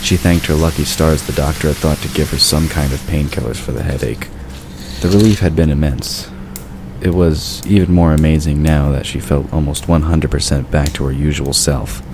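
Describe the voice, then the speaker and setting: A man's voice: a bass voice, steady tone, moderate pace. A man reading scripted narration for a fantasy audio drama. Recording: clear background noise.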